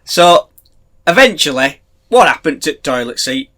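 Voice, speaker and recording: A middle-aged Yorkshire man in easy podcast banter. His voice sits a touch high and sounds bright, with plenty of lift and fall as he slips into wry rants. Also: very animated, normal speed. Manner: wry, chatty, ranting asides. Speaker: podcast co-host. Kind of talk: casual banter.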